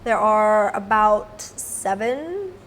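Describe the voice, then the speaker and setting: A young woman with a raspy voice from a recent illness, chatting upbeat to camera as a beauty vlogger on a clip-on mic. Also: medium pitch, lively intonation, very slow, fairly bright tone, a little hiss or hum. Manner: chatty, upbeat, lots of fillers. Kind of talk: casual product review to camera. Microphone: clip-on mic on top.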